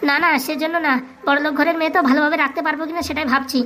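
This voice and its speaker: A woman's voice: sits high, steady tone, rapid-fire, sharp tone. A woman playing an anxious, modest young bridegroom in a comic cartoon, fretful in manner. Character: anxious, modest young man about to marry.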